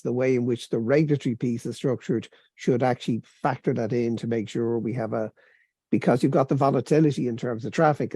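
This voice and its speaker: Guy moderating a panel, husky gravelly voice, dark soft tone, very dry sound. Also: mid-range voice, dry recording.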